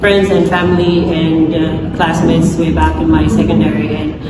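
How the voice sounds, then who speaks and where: A woman's voice: low-pitched, little pitch movement, moderate pace. A woman in her twenties with a Filipino accent, a student giving hesitant reaction commentary full of fillers. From Philippines. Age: 20s. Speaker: English education student. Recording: echoey hall with noise.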